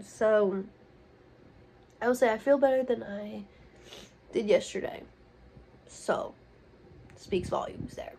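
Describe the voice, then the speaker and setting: A young woman in college chatting casually to her phone camera in a relaxed vlog, upbeat and full of fillers. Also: on the high side, slow pace, pauses often, slightly rough, rough recording. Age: young adult. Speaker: college student vlogger. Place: dorm room and outdoors. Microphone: phone held at arm's length.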